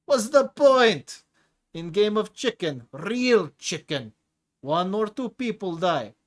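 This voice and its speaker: A man's voice: on the high side, expressive, a little slow. A man doing a cocky clawed tiger-man brute. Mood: boisterous. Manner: broken English in a thick accent; boastful, relishing violence. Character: hulking clawed male tiger-like prisoner, gruff, cocky.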